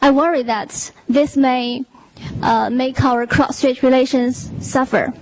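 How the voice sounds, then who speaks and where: A woman's voice: high-pitched, fairly even intonation, takes it slowly, a little mellow, a little hiss or hum, rough recording. A young woman university student reading a question aloud in a careful, polite way. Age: young adult.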